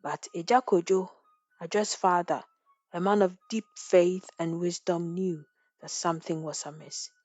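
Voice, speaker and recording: A woman's voice: fairly low pitch, fairly even intonation, unhurried, a little mellow, dry recording, faint music behind. Woman storyteller, dry studio sound. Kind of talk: scripted dramatic folktale narration.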